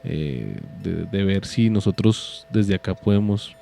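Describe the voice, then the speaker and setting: Colombian guy co-hosting radio, relaxed dark tone, casual chat, faint music. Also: low-pitched, slow pace, gravelly. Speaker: radio co-host taking calls. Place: radio studio. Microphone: studio broadcast microphone.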